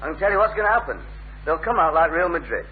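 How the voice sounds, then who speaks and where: A man's voice: fairly high pitch, big pitch swings, quick pace. An actor playing a wry, easygoing young Northern English working-class man in a radio sitcom, dry and matter-of-fact. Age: young adult. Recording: narrow, noisy old radio recording.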